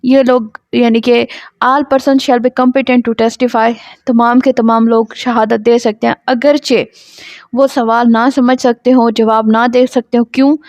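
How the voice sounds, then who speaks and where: A woman's voice: on the high side, little pitch movement, slow, darkish tone, dry recording. A woman with a Pakistani accent giving an explanatory law lecture, didactic, often asking and answering her own questions and mixing in English legal terms. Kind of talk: explanatory lecture, reading out statutes and glossing them.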